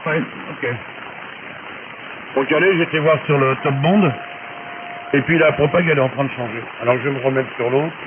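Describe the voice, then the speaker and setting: A man's voice: medium pitch, normal speed, long pauses. A man chatting casually as an amateur radio operator over a shortwave transmission. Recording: narrow-band shortwave radio with clear hiss.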